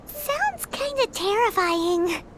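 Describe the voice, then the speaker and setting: A woman voicing a tiny, childlike fairy companion in an anime-style video game, with a squeaky voice and a cheeky, easily worried manner. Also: very animated, slow, music in the background, full character voice. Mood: nervous. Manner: squeaky, childish, refers to self in third person.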